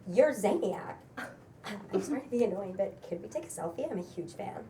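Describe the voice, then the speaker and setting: A woman's voice: mid-range voice, expressive, a little fast, close to an everyday voice. A woman acting a starstruck, effusive, earnest receptionist fan in a comedy script read. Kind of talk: cold table read of a comedy pilot. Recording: distant mic, loud crowd noise.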